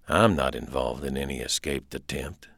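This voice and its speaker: A man's voice: low-pitched, animated, a little slow, no echo, studio quality. A man voicing a young American gunsmith held prisoner in an audiobook, guarded, respectful and subdued. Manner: muttering, soft, subdued.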